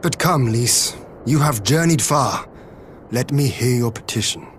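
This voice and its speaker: A resolute young lord, acted by a man in a video game scene, with a mid-pitched voice. He speaks in a stately, measured way over faint ambient noise. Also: close to an everyday voice. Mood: grave, courteous. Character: noble young lord, composed, formal and resolute. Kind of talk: video game cutscene voice acting.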